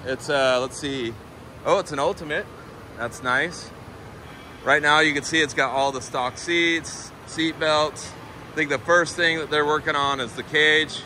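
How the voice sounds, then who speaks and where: A man's voice: fairly high pitch, steady tone, a little slow, bright, forceful tone. A man hosting a casual walkthrough of a parts warehouse and workshop, chatting eagerly as he points things out while filming. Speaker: host touring a shop. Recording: noisy shop recording.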